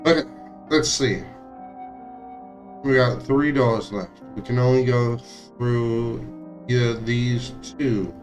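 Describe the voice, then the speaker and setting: A man streaming a visual novel, reading its text aloud in a somewhat halting way with occasional stumbles. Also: medium pitch, steady tone, soft background music. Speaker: game streamer.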